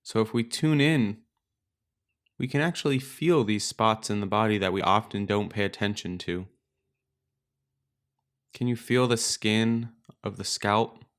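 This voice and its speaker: A man leading a guided meditation as a teacher, speaking in a calm, gentle, unhurried way with pauses. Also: fairly low pitch. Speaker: meditation teacher and podcast host. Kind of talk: guided meditation, slow and instructional.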